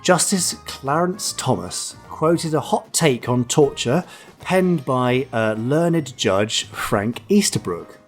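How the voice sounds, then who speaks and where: Wry man hosting, lively intonation. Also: mid-range voice, slow, faint music behind. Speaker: podcast host. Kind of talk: light-hearted podcast chat with read-out segments.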